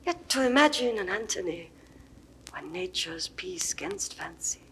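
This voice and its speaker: An actress playing a proud, grieving Egyptian queen in a classical verse recording, moving from hushed whispers to ringing outbursts. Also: on the low side, expressive, unhurried, slightly rough, exaggerated voice. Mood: grieving, dreamy.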